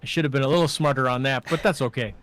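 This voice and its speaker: A man's voice: mid-range voice, rapid-fire, a bit bright. A young man co-hosting a podcast in casual chat, rambling and often restarting his sentences. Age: young adult. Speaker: podcast co-host and student filmmaker.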